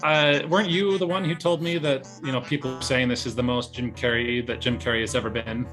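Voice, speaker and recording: A man's voice: medium pitch, fairly even intonation, fairly quick, fairly bright tone, rough recording. A man co-hosting a casual movie review, talking in a hesitant, rambling way and often correcting himself. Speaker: podcast co-host reviewing a movie. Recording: music behind the voice.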